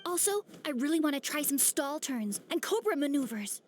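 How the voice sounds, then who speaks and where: A woman voicing an earnest, excitable young girl training to fly, over game music. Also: sits high, moderate pace, slight background noise. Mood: eager.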